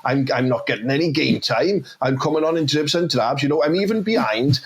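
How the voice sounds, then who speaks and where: A man's voice: a little high, animated, a little fast. A stammering, hesitant young Welsh footballer, as a man puts him on for a comedy sketch. He trips over his words and restarts again and again, earnest and a little shy. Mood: frustrated.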